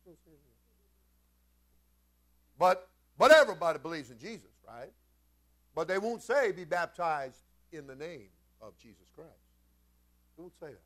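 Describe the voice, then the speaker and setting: Guy preaching, kinda high voice, folksy storyteller, goes from chatty to emphatic. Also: moderate pace, pauses often. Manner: animated, swinging from quiet conversational asides to loud emphatic shouts. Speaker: senior pastor preaching. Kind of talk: Pentecostal-style sermon with storytelling.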